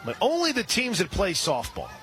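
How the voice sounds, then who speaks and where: A man calling a softball game on the radio, describing each pitch and reading sponsor spots between plays. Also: huge pitch swings, moderate pace, a crowd in the background. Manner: rises sharply and loud on big plays. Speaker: radio play-by-play announcer. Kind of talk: live softball play-by-play.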